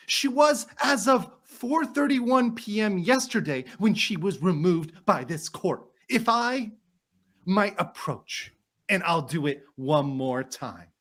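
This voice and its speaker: A man's voice: high-pitched, lively intonation, takes it slowly, fairly bright tone, heavily acted. A man doing a dramatic reading as an indignant, courteous trial lawyer, formal and emphatic as he argues to a judge. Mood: insistent.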